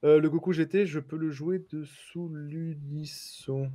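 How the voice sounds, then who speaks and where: A man with a somewhat higher voice chats casually on a card game panel. He tosses in slangy asides and teases his friends between points about the cards. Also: steady tone, a little slow, dark tone. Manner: chatty, teasing, slangy asides. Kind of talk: casual strategy discussion.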